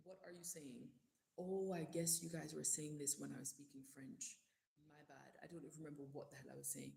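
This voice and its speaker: A woman's voice: low voice, gravelly, dark tone, roomy sound, low-quality recording. A woman from Jamaica with a mixed Jamaican and English accent, hosting a casual live stream and answering viewer comments in a chatty, candid way. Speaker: livestream host.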